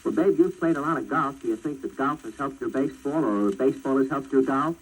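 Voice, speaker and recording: A man's voice: medium pitch, brisk, breathy edge. An American man interviewing a guest in 1940s radio style, heard on a crackly old disc recording.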